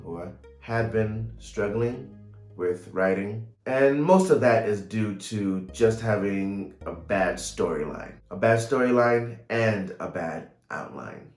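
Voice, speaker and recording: Male vlogger, thirties, lowish voice, unhurried and measured, casual delivery, echoey home room. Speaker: writer and vlogger. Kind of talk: casual video vlog to camera. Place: small home room, indoors. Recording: roomy echo, light music behind.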